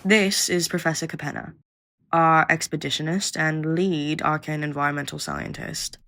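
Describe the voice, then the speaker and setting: A woman's voice: sits low, steady tone, normal speed, creaky, a bit bright. A woman acting a jaded, blunt young creature researcher in an audio roleplay, with a sardonic, grudging manner. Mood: dry.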